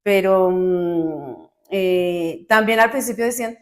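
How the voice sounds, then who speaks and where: Una mujer de Bogotá, con acento colombiano, conversa de forma animada y espontánea como invitada, riendo con facilidad. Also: female voice, fairly low pitch, slow. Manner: chatty, animated, laughs easily, self-correcting. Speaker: guest. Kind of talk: casual chat.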